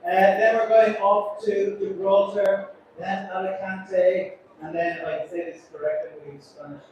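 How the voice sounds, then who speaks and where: A man's voice: high voice, little pitch movement, unhurried, dull audio. A man, a cruise ship captain, giving a chatty, joking announcement to passengers. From Ireland. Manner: chatty, joking, self-deprecating. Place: large echoey atrium on a cruise ship. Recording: echoey hall from far off, crowd noise.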